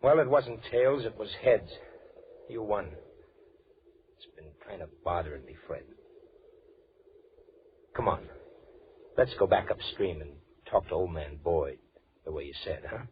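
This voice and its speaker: An actor playing a folksy, guilt-ridden fellow in a 1940s radio drama, hesitant and colloquial. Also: male voice, low-pitched, expressive, measured pace, long pauses. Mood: sheepish, confessing. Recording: narrow, noisy old radio recording.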